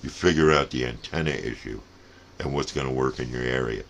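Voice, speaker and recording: A man's voice: a bass voice, a little fast, vocal fry. An older man in a casual, rambling hobby vlog, giving folksy advice about gear with frequent digressions and repeated words. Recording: noticeable steady background noise.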